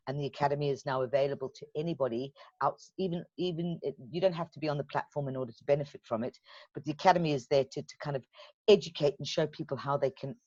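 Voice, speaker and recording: A woman's voice: low-pitched, darkish tone, no room sound. Chatty Zimbabwean woman, dull dry audio. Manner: chatty, many restarts and repeated words. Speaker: guest, business development. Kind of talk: casual interview chat.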